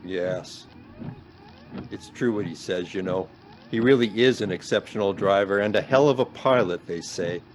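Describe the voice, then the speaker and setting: 男演员扮演的沉稳教授，背景有轻微噪音. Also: male voice, on the low side, normal speed, noisy background, close to an everyday voice. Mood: wry, subdued. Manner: formal, understated. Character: reassuring, sceptical male professor, measured and dry. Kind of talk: radio play acting.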